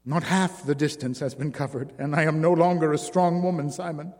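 A man's voice: fairly high pitch, fairly even intonation, a little fast, darkish tone, pitched above the performer's own voice, natural voice. A man voicing an exhausted, desperate former circus woman who pleads through tears. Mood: pleading. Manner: pleading, tearful. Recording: long reverb.

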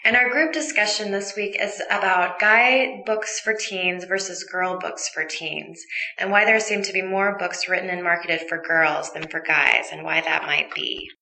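A woman's voice: on the low side, fairly even intonation, unhurried, pushed and bright. A woman hosting a podcast, giving an informal rundown of the segments. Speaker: podcast host introducing segments. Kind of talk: informal scripted introduction. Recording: roomy, echoey sound.

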